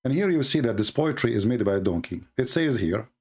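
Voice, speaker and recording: A man's voice: mid-range voice, animated, brisk, darkish tone, husky. A middle-aged man with an Arabic accent and broken English, hosting a heated, mocking religious debate monologue.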